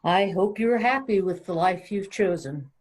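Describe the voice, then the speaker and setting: A woman's voice: mid-range voice, animated, moderate pace, a little mellow, lightly acted. A woman playing a sorrowful, disappointed young sweetheart in an amateur table read. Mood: sad, reproachful.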